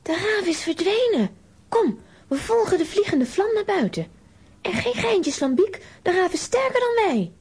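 A woman playing a spirited, emotional little girl in a children's audio play, expressive and dramatic. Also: very high-pitched, normal speed. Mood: urging.

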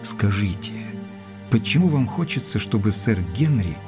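An actor playing an earnest, gentlemanly doctor in an audio drama, speaking in a courteous, measured way. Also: male voice, fairly low pitch, animated, over music, lightly acted. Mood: earnest concern. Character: earnest gentlemanly doctor, loyal and cautious, narrating. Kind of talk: audio drama narration and dialogue.

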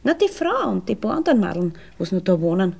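An actress in a radio play voicing a sleepy, unsentimental young Viennese streetwalker, casual and blunt with a coquettish drawl. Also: female voice, mid-range voice, big pitch swings, quick pace, vocal fry, darkish tone, slightly rough, exaggerated voice. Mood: matter-of-fact. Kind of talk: naturalistic radio play acting.

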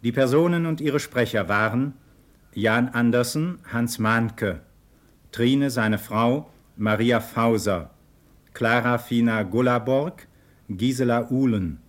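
A man reading radio credits as an announcer, formal and measured. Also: medium pitch.

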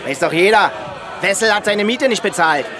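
An actor playing a belligerent Nazi agitator who heckles a policeman at a funeral, loud and mocking in a radio drama. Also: high voice, lively intonation, moderate pace, a crowd in the background. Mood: taunting. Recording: crowded street noise behind the voice.

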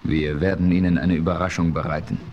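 A man as a calm, deep-voiced frontier hero. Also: a little fast, muffled recording, noisy background, close to an everyday voice. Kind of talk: 1970s radio play acting.

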